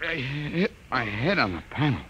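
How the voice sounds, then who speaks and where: A man's voice: medium pitch, big pitch swings, moderate pace, crowd noise, close to an everyday voice. An actor playing a dutiful, deferential spaceship crewman in old-time radio science fiction. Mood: concerned. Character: dutiful young male spaceship crewman, second to captain. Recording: dull, noisy old radio recording.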